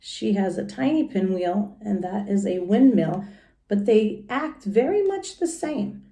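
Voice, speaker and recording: A woman's voice: mid-range voice, lively intonation, a little slow, dark tone. Woman reading to children in an echoey room. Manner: explains simply and asks listeners questions. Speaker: teacher reading a picture book aloud. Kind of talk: expressive children's storybook read-aloud with chatty asides to young listeners.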